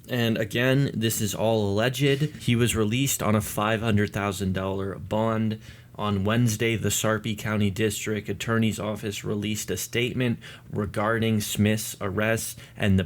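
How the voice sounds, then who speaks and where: A man's voice: on the low side, fairly even intonation, unhurried. A man hosting a news commentary video, explaining developments to his viewers. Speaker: video host. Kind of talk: news commentary to viewers, part read statement.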